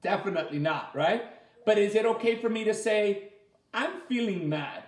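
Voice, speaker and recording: A man leading a children's story time as a teacher, reading aloud and asking the listeners simple questions in an animated way. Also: high-pitched, moderate pace. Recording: roomy, echoey sound.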